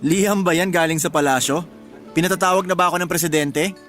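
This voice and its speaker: An actor playing a hot-headed, joking husband with a Filipino accent in animated, colloquial radio drama dialogue. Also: male voice, sits high, fast talker, faint music behind. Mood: playful, teasing. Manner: animated, colloquial, exasperated or teasing.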